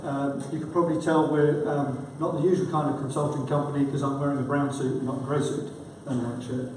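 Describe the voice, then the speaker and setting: A man giving a conference presentation, his voice croaky from a cold, speaking informally with fillers. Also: mid-range voice, flat delivery, fairly quick, darkish tone, a little hiss or hum. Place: large conference hall. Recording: echoey hall, distant mic.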